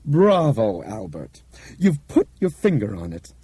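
A man voicing a shrewd explainer in an audiobook, speaking with deliberate, theatrical emphasis and authority. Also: medium pitch, big pitch swings, warm tone, slightly rough, no room sound, pitched above the performer's own voice, exaggerated voice. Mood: expounding.

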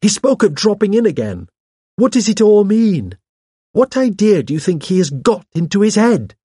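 A man voicing a nervous, obstinate man in an audiobook, with a shrill, fidgety and insistent delivery. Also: big pitch swings, moderate pace, warm tone, slightly rough, dry recording, clean studio sound, exaggerated voice. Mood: shrill alarm. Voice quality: shrill when agitated. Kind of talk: audiobook character voice.

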